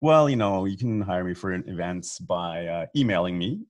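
Man, Swiss German accent, deep, dry, casual, halting. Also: no room sound. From Switzerland. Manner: relaxed, many restarts and fillers. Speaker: guest, event announcer. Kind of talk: casual video call chat.

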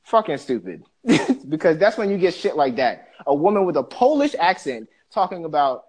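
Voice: mid-range voice, sing-song, moderate pace, darkish tone. A podcast co-host from the Bahamas, ranting animatedly and profanely in a casual discussion.

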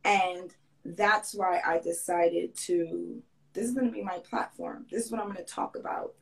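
A woman's voice: fairly low pitch, steady tone, normal speed, creaky, low-quality recording. A woman fashion designer who lived in Grenada as a child, talking earnestly in a casual interview and often restarting her sentences.

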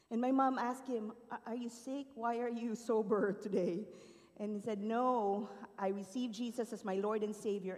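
A woman's voice: mid-range voice, a little mellow. A woman from the Philippines giving an earnest, emotional personal testimony to a church congregation. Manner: earnest, emotional storytelling with rising and falling emphasis. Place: large church auditorium. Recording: long hall reverb.

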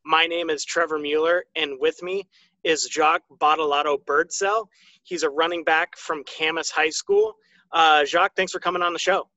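Bright-voiced American man hosting a sports podcast. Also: fairly high pitch, fairly even intonation, moderate pace, no echo, highs cut off. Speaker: podcast host.

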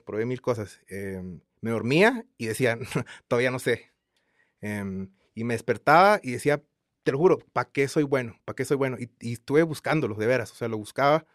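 A man in his thirties from Guatemala with a Guatemalan Spanish accent, chatting as an interview guest in a relaxed, rambling way full of fillers. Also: mid-range voice, no echo, clean studio sound. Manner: relaxed rambling with many fillers and repeated tags. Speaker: guest singer. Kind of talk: casual interview chat.

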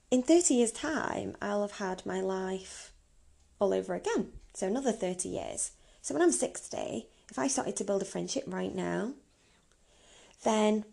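A woman's voice: medium pitch, vocal fry, warm tone. A woman of about thirty giving informal advice on her own as a podcast host, candid and chatty, often posing rhetorical questions. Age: around 30. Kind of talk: informal solo advice talk.

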